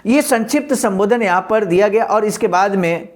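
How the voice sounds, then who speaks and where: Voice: medium pitch, moderate pace. Presenter, formal and explanatory. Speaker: presenter of a daily speech-reading programme. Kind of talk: scripted reading with spoken commentary.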